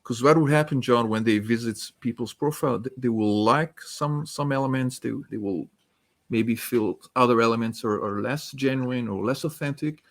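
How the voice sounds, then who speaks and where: A man from France, French his first language, answering interview questions in a measured, structured way. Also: medium pitch. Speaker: author and guest.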